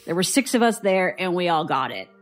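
An actress playing a weary, guarded survivor giving dry, reluctant testimony in an audio drama. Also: female voice, on the low side, big pitch swings, brisk, faint music behind. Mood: irritated, guarded. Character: guarded, weary woman survivor recounting childhood strangeness.

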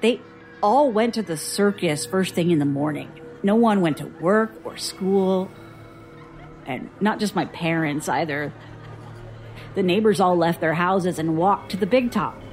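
An actress playing a bitter, guarded young woman, a traumatized survivor, in an audio drama, husky and sardonic. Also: fairly low pitch, a little slow, a little mellow, faint music behind, natural voice. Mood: haunted, subdued. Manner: sardonic, defensive.

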